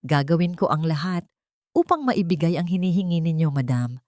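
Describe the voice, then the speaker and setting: A composed, cold heroine of an audio romance drama, acted out by a woman narrator. She speaks with calm authority and restraint, in a dry recording. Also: deep voice, moderate pace, no echo. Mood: restrained, deferential. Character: cold, composed wronged woman posing as dignified seamstress.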